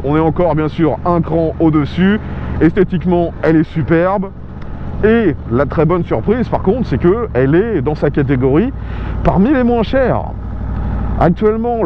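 A fairly high-voiced man talks through a motorcycle review as he rides, chatty and keen, with plenty of fillers. His helmet mic sounds muffled and dull. Steady engine and road noise runs underneath. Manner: chatty, enthusiastic, many fillers. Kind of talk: casual spoken vehicle review. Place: outdoors riding a motorcycle on rural roads.

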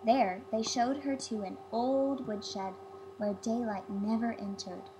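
A woman's voice: a little high, steady tone, slow, noisy background. A woman reading a children's fairy tale aloud as a storybook narrator. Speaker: storybook reader.

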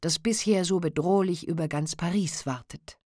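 A woman's voice: fairly low pitch, normal speed, darkish tone, dry recording, clean studio sound, lightly acted. A woman voicing a stern, suspicious male police president in an audiobook reading.

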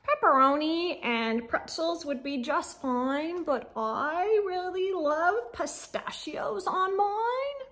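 Woman playing a high, insistent storybook squirrel. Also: animated, slow pace, hardly any pauses, fairly bright tone, exaggerated voice. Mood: eager. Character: party squirrel, storybook animal friend.

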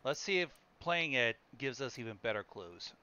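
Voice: medium pitch, animated, normal speed, noisy background. A person chatting casually while reacting to a video and reading its text aloud, swearing casually. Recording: dull lo-fi mic, loud music behind. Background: music.

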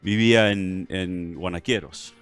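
A man's voice: sits low, fairly even intonation, unhurried, fairly bright tone, faint music behind. A middle-aged man from California speaking Spanish with a mixed Chilean, Mexican and American accent, hesitant with many fillers as an interview guest in a studio. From California, United States. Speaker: guest historian. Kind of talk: relaxed interview conversation. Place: TV studio set. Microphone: headset mic.

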